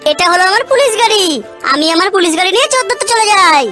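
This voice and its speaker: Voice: extremely high pitch, expressive, rapid-fire. A performer voicing an eager, innocent little child in a kids' cartoon, with a simple, earnest delivery. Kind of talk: cartoon dialogue. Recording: loud music behind the voice. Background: loud cartoon music.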